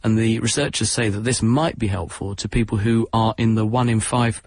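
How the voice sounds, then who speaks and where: A man with a southern English accent explaining science on a radio call-in show, relaxed and joking at his own expense. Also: fairly low pitch, normal speed, no echo, studio quality. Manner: relaxed explainer, self-deprecating jokes. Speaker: science expert guest on radio.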